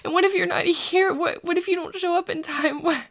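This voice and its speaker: A woman playing a frightened but stubborn human confiding in a vampire friend in an intimate ASMR audio drama, with a husky, breathy voice and hesitant delivery. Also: extremely high pitch, steady tone, a bit bright. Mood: panicked. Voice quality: breathy, husky, often dropping to a whisper. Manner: hesitant, halting, stammering over words.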